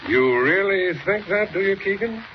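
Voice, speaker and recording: An actor playing a stubborn, commanding governor in a 1940s radio drama, brusque and blustering. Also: male voice, high voice, expressive, moderate pace. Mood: indignant. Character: stubborn, blustering state governor, a grown man. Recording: dull, noisy old radio recording.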